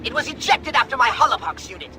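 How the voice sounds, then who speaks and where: A man playing a pompous, high-strung alien mastermind in a video game cutscene, theatrical and wordy. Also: very animated, fast, background noise. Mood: smug, explanatory.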